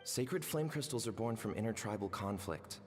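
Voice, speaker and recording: An earnest, devoted young healer-scholar from a fantasy game, played by a man, speaking in a lowish voice. He explains himself with sincere care, in long hall reverb over faint music. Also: lively intonation, moderate pace, lightly acted. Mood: thoughtful, explanatory. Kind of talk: video game voice acting.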